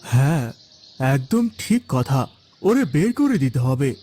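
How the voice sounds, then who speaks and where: A man voicing a hostile, scornful villager in a moral cartoon, harsh and hurried. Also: mid-range voice, sing-song, warm tone.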